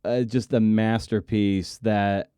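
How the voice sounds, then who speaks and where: A man's voice: fairly low pitch, fairly even intonation, takes it slowly, mellow, clean tone, no room sound, clean studio sound. A man hosting an interview podcast, chatting casually and emphatically, repeating words for stress and poking fun at himself.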